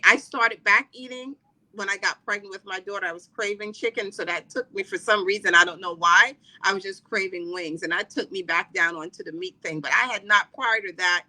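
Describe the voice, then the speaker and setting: A middle-aged woman from the Caribbean talks as a podcast guest, rambling and chatty, full of fillers and restarts. Her voice is strikingly bright and forward. She sits at a middle pitch for a woman. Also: rough recording. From Trinidad and Barbados. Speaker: podcast guest, wellness coach. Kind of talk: casual interview talk.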